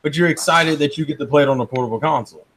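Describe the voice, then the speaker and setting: A man's voice: on the high side, racing, fairly bright tone, a little hiss or hum. A man co-hosting a podcast with casual, opinionated banter, arguing his points bluntly and with swearing. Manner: blunt, argumentative, profane. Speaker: podcast co-host.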